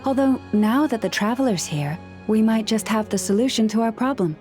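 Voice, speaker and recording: A woman voicing a gentle young woman who hears animals, speaking softly and patiently with a slightly wry touch. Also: on the high side, a little fast, faint music behind, lightly acted. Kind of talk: game character dialogue.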